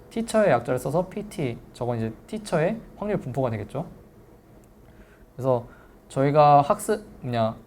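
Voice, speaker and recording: A lecturer with a mellow, relaxed voice at a middling pitch presents a technical seminar. The delivery is explanatory and polite, with informal asides, over faint background noise.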